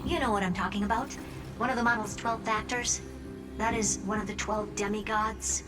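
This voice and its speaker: A woman's voice: mid-range voice, steady tone, normal speed, close to an everyday voice. An actress playing a confident, teasing woman genius in a video game, speaking with wry self-assurance. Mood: urgent, confiding. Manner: wry, self-assured, talking down playfully to the listener. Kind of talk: video game dialogue voice acting. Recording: loud music bed under voice. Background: background music.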